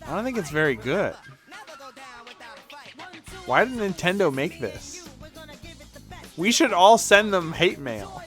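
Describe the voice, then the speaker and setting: A man's voice: high-pitched, big pitch swings, slow pace, long pauses, fairly bright tone, faint music behind. A man livestreaming in a casual, blunt, sweary way as he reacts to videos. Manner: blunt, sweary, mock-exasperated. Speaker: streamer.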